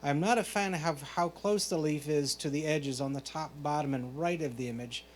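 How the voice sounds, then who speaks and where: A man working as a photo competition judge, reading out prepared critiques in a measured, explanatory way. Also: fairly high pitch. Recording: clear background noise, hum.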